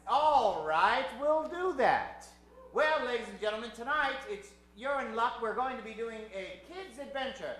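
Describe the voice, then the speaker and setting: Guy emceeing a live stage show, bright forceful voice, really echoey theater, jokey old radio showman style. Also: very high-pitched, expressive, moderate pace, a little hiss or hum. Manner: showy, mock-formal, joking. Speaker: stage host of an improvised radio comedy show.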